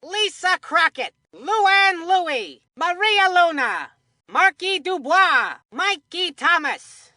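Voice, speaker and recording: A woman's voice: extremely high pitch, very animated, takes it slowly, pushed and bright, no room sound, clean studio sound, exaggerated voice. A woman voicing an eager, chatty boy who works as a sports commentator in a cartoon video game, with a folksy, earnest announcer delivery. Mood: proud announcing.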